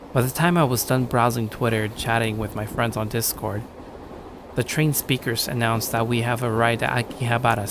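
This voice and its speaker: A man's voice: mid-range voice, noisy background, lightly acted. A man playing a jaded, self-conscious young shut-in, telling his story in the first person with weary, sardonic asides. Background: background music.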